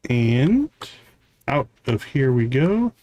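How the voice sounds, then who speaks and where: A man hosting a livestream, giving casual gameplay commentary and thinking aloud in an unhurried way. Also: medium pitch, big pitch swings, mellow. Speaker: livestream host.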